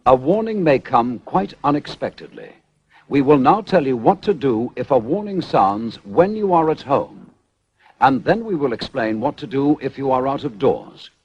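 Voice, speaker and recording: British male announcer for vintage civil defence narration: formal and measured, widely varied intonation, muffled band-limited audio. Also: mid-range voice, sing-song, darkish tone, slightly rough. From United Kingdom. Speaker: public information film narrator. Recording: dull, band-limited 1970s film soundtrack.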